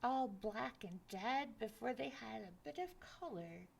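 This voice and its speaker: A woman's voice: fairly high pitch, lively intonation, a little slow, gravelly, slightly rough, exaggerated voice. A woman voicing a stern old mother figure, the North Wind, who scolds her son firmly. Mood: scolding.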